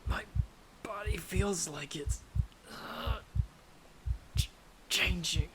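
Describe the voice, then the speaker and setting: Guy playing a scared young traveler in an audio drama, gravelly, halting and intense, slow pace, noisy storm behind. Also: high-pitched, full character voice. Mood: agonized, vengeful. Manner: breathless, halting, intense delivery. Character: frightened, defiant young male traveler turned half-vampire. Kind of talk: dramatic audio roleplay acting. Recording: noisy track with storm ambience.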